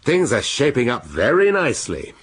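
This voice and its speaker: An actor playing a shrewd, sardonic middle-aged British police inspector in a radio drama, speaking deliberately and with relish. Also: male voice, mid-range voice, huge pitch swings, breathy edge, heavily acted. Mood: pleased, scheming. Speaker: police detective.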